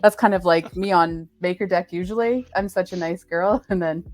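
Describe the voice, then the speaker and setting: A woman hosting a casual live stream interview, with a chatty, jokey and halting way of talking. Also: on the low side. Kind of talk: casual unscripted chat.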